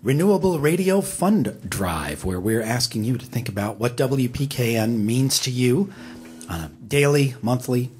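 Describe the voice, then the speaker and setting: A man with a husky voice hosting live radio, making an unscripted pitch in an easygoing, conversational way with hesitant pauses. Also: lively intonation, a little mellow. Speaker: radio host. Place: radio studio.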